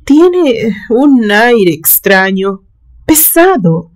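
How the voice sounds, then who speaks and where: A brooding young man , played by a woman narrator in a suspense storybook, uneasy and reluctant. The words come out hesitant and drawn out, with a raised pitch. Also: very animated, very slow, heavily acted.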